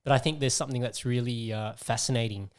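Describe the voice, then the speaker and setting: A man's voice: mid-range voice, steady tone, fast talker, clear, resonant tone. A man hosting a casual podcast interview, talking in a rambling way, repeating words and digressing. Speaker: podcast host.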